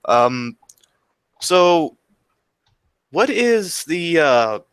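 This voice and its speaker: A young male podcast host with a bright, forceful tone, recorded close and dry with no room sound. He asks his questions in an easygoing, offhand manner. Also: on the high side, very slow, clean tone, dry recording. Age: 20s. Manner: relaxed, laid-back questioning. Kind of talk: casual podcast chat.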